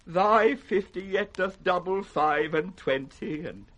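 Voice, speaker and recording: Elderly monarch, high, forceful voice, wounded and near madness, classical radio Shakespeare. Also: male voice, slow pace. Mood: bitter. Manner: declamatory, swinging between hushed and crying out. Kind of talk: 1960s British radio Shakespeare acting.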